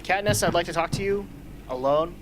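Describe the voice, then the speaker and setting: Radio play role: bossy, gruff male mentor, man acting, middle-aged, faint noise behind. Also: high-pitched, brisk, natural voice. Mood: blunt, challenging. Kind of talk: amateur radio drama acting. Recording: hobby recording with ambient noise.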